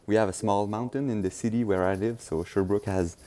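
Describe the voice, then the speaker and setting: A man's voice: lowish voice, steady tone, brisk, dark tone. A young man from Quebec with a Québécois French accent, giving easygoing, hesitant interview answers in English, his second language. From Quebec, Canada. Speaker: guest, gravel racer.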